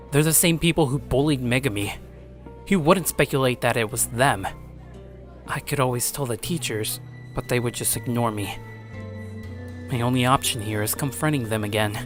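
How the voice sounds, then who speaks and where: A man acting as a blunt high school boy, narrating his own story and speaking dialogue in an earnest, tough way. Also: close to an everyday voice. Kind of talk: first-person dramatic narration and dialogue.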